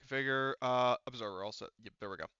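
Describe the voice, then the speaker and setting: A man acting as game master, explaining a tabletop game casually in halting, rambling stretches over voice chat. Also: medium pitch, pushed and bright, clean tone, dry recording, highs cut off.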